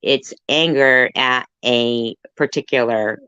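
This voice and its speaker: A woman hosting a casual livestream conversation, talking in a halting, roundabout way. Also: extremely low pitch, bright, forceful tone, clear, resonant tone, no room sound, dull audio. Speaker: livestream host.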